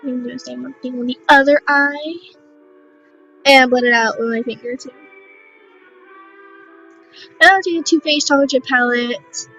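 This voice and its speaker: A young woman with a raspy voice, casually narrating a makeup tutorial in a rambling, unsure, self-deprecating way. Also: sits high, steady tone, fairly quick, fairly bright tone, lo-fi sound, soft background music.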